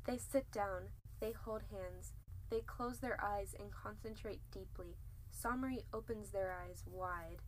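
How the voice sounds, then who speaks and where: A woman's voice: medium pitch, a little slow, a crowd in the background, lightly acted, poor audio. A young woman playing a cheeky narrator in an amateur comedic radio play. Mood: earnest. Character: cheeky storybook narrator intruding on the action.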